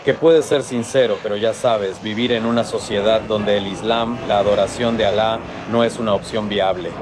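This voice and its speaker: Low-voiced man asking thoughtful questions. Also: fairly even intonation, normal speed. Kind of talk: casual chat.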